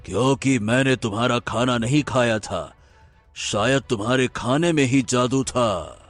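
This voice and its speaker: An actor playing a wary, easily alarmed but clever husband in an animated fairy tale. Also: male voice, mid-range voice, a little slow, faint music behind, natural voice.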